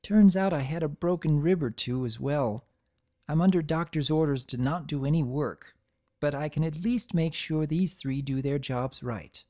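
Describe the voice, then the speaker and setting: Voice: mid-range voice, normal speed, warm tone, no echo, clean studio sound, lightly acted. A narrator voicing a kindly, practical mother pegasus with a motherly, coaxing manner in a cartoon pony story. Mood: brisk.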